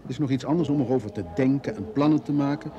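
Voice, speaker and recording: An actor playing a hesitant, guilt-ridden husband in an old Dutch radio play. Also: male voice, mid-range voice, fast, lo-fi sound, background noise, close to an everyday voice. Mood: troubled. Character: conflicted, guilt-ridden husband leaving his wife. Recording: old radio recording, crowd behind.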